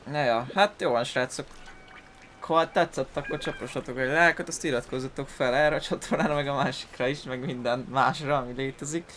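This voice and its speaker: A man's voice: mid-range voice, steady tone, a little fast, fairly bright tone, a little hiss or hum. A man hosting a gaming video, giving casual commentary in an informal, rambling way.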